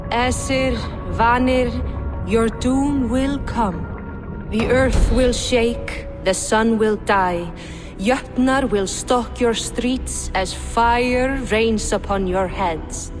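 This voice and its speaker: An actress playing a grave fate spirit in a video game, prophesying doom in a portentous, incantatory manner. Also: female voice, on the high side, drawn-out words, over music. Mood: ominous prophecy. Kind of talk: dramatic video game acting.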